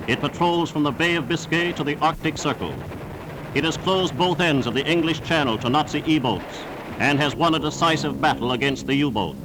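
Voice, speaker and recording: A man's voice: on the high side, brisk, noisy background. A man reading scripted 1940s newsreel narration in a formal, emphatic, declamatory manner on a vintage soundtrack.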